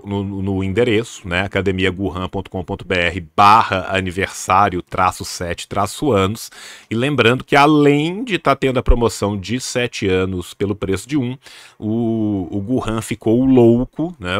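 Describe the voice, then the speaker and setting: A man's voice: on the low side, fairly bright tone, no echo, studio quality. A young man from northern Minas Gerais with a Minas accent, hosting a casual live chat in a slangy, joking way. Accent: northern Minas Gerais Brazilian Portuguese. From northern Minas Gerais, Brazil. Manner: slangy, jokey, chatty. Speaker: channel host.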